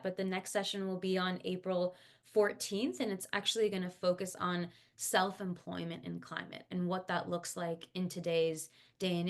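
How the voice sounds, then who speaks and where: A woman hosting an online panel talk over a video call, speaking casually with fillers and restarts and encouraging the audience. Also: on the low side. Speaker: host and founder of a climate career coaching agency. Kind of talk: webinar hosting.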